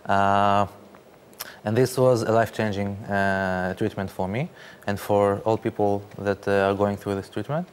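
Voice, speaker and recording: A man, speaking haltingly with many fillers as a guest in a studio interview. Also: fairly low pitch, fairly even intonation, a little hiss or hum. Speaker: interview guest, startup founder.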